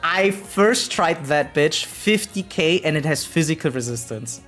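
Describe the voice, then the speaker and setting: A man's voice: fairly high pitch, animated, unhurried, a bit bright. Rambling male streamer over music. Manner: rambling, repetitive, slangy and profane; frequently tags sentences with "right?". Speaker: variety game live streamer. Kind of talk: casual chat with live stream viewers.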